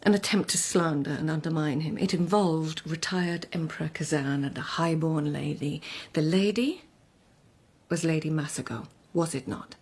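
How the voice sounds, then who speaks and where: An actress playing a poised, anxious court lady devoted to her Empress, speaking in a measured, formal, guarded way in a radio drama. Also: deep voice, darkish tone, husky. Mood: guarded, probing.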